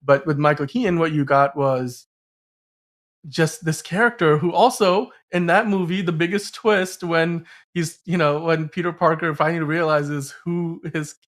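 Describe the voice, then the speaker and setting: A man's voice: fairly high pitch, normal speed, a bit bright. A man hosting a casual film discussion podcast, talking in a rambling, emphatic way and often stumbling over his words. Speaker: podcast host.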